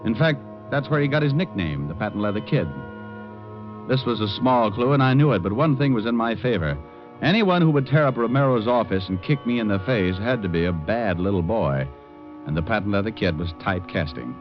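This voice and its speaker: A deep, wry American private eye played by a male radio actor, tough and quick with a threat. He talks in hardboiled noir style, on a dull vintage broadcast recording with music clearly underneath. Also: expressive, natural voice. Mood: wry narrating. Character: tough wisecracking male private detective, menacing. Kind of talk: 1940s-50s radio acting, hardboiled noir narration. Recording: muffled old-time radio recording with music.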